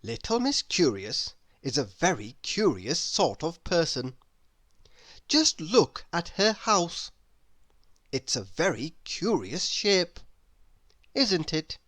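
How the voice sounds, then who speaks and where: A woman's voice: on the low side, big pitch swings, slow pace, a bit bright, no room sound, studio quality. A woman narrating a children's story read-aloud expressively, addressing young listeners with playful questions.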